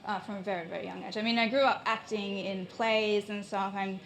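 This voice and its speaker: A woman's voice: medium pitch, steady tone, fairly quick, fairly bright tone. A young woman from New Zealand, a painter, speaking reflectively and hesitantly into a handheld microphone at a live gallery talk. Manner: reflective, hesitant, thinking aloud. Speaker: painter, invited artist. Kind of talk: live public conversation. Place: gallery space with an audience.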